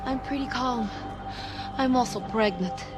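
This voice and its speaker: A woman's voice: on the high side, measured pace, natural voice. An actress voicing a frightened but outspoken young woman on the run in a dramatic video game, with an anxious delivery. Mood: subdued, confiding. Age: young adult. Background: music.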